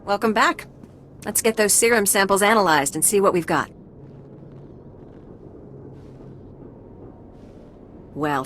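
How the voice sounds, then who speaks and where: A woman playing a brisk, dryly witty doctor and plague researcher in a video game. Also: mid-range voice, close to an everyday voice. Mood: brisk, wry. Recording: clearly noisy background ambience.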